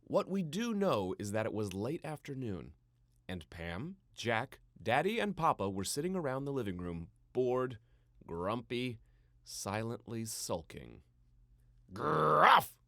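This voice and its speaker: Man, children's story narration, theatrical, playful, highly varied intonation, dry recording. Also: very animated, a little slow, no room sound.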